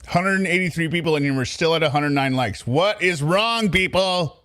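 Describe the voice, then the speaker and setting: A man's voice: a little high, moderate pace, fairly bright tone, no room sound, studio quality. A man hosting true crime commentary, sarcastic and talking back at the recording he plays. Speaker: commentary host.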